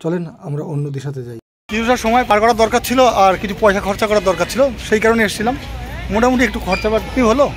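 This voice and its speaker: A man with a high-pitched voice hosts a travel vlog outdoors. He narrates casually and chats, pausing and repeating himself as he goes. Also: animated. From India. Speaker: travel vlogger and host. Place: outdoors, park and roadside.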